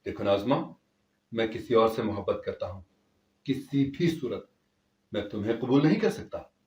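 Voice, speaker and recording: A man's voice: on the low side, lively intonation, normal speed, darkish tone, dull audio, close to an everyday voice. A man voicing a firm, earnest young son and unwilling groom in a dramatic story. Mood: cold rejection. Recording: echoey, distant from the mic.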